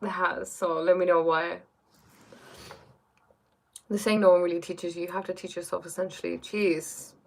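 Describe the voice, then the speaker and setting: A woman's voice: on the low side, flat delivery, normal speed, gravelly, long pauses, poor audio. A young woman hosting a casual livestream, reading viewer questions aloud and answering them on spirituality. She hesitates often and fills her speech with "like" and "um". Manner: hesitant, lots of fillers and "like", reads comments aloud.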